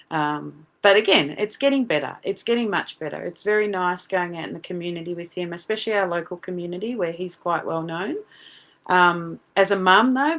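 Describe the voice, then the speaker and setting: Australian mum, low-ish and earnest. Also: female voice. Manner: earnest, animated, many fillers and restarts. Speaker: guest, parent advocate. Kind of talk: casual podcast interview.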